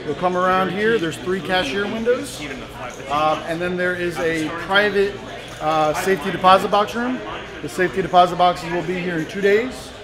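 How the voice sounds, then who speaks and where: A man gives a matter-of-fact walkthrough of a big indoor poker room, his voice sitting high for a man. Loud player chatter fills the space behind him, picked up by a handheld camera a few feet away. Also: normal speed. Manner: matter-of-fact, informative, with fillers. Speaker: poker room manager giving a tour. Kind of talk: explaining on a walking tour. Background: crowd chatter.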